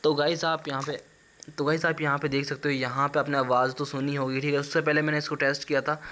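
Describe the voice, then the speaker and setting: Fast-talking man, fairly even pitch. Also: a little hiss or hum. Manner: chatty, addresses viewers directly. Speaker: YouTuber host. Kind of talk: casual screen-recorded tutorial voice-over.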